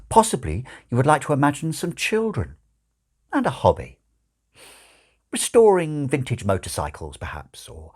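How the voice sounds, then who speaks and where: A man narrating a story as an audiobook reader, with a wry, measured and expressive delivery. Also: mid-range voice.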